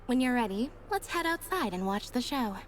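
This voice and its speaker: A woman's voice: on the high side, animated, moderate pace, crowd noise, lightly acted. Actress as a composed young woman assistant. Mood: reassuring. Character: calm, composed young female magician's assistant, quietly wise.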